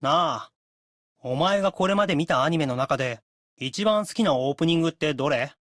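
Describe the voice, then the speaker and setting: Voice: mid-range voice, expressive, racing, lightly acted. A performer voicing a cocky, demanding young anime guy in Japanese style, haughty, teasing and a little sulky. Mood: smug, chatty.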